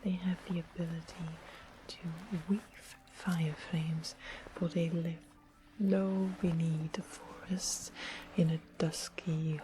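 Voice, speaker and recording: A woman playing a sweet, sly witch in a medieval fantasy ASMR roleplay, whispering in a slow, musing way with small pauses. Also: sits low, low-quality recording. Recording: clear background ambience noise. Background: soft music and ambience.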